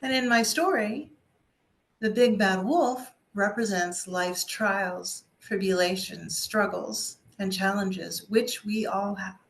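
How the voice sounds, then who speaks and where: A woman speaker and coach giving a scripted personal testimony as a story, with deliberate, emphatic pauses. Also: mid-range voice, creaky.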